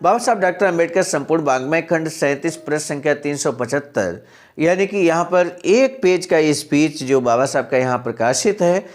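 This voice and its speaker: A man's voice: a little high, moderate pace. A man presenting a channel's daily message, reading out and explaining a historical text and addressing his listeners as honored friends. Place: center in Sarnath, Varanasi.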